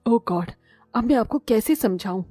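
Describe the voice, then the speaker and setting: A snobbish, scolding cartoon mother-in-law, played by a woman. Her voice is soft-toned and slightly rough, closely recorded and dry, over music. She is sharp-tongued and sarcastic. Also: on the high side, lively intonation, unhurried, no room sound. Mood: exasperated. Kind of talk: Hindi cartoon moral-story voice acting.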